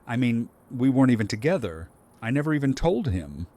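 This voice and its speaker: A man playing a grieving, gentle father in an audio drama, speaking in a subdued, sad way. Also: mid-range voice, sing-song, fairly quick. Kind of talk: fan audio drama acting.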